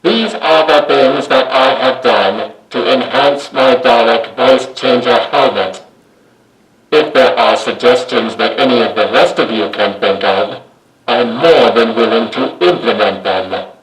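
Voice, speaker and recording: A man hosting a do-it-yourself tutorial, walking through the steps in a measured, matter-of-fact way. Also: medium pitch, a bit bright, reverberant, rough recording. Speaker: DIY video host. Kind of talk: step-by-step tutorial narration.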